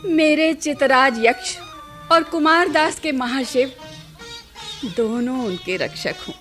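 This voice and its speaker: A woman playing an affectionate, teasing older courtesan matron in a Hindi radio play, with formal, deliberate diction. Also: high voice, animated, a bit bright, soft background music, exaggerated voice. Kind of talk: theatrical Hindi radio drama acting.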